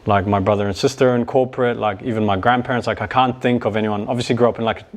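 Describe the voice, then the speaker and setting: A man's voice: mid-range voice, fairly even intonation, racing. A young man from New Zealand, a guest talking casually about his business, laid-back and full of "like" and "yeah". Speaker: guest, e-commerce business owner. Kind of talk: casual interview chat.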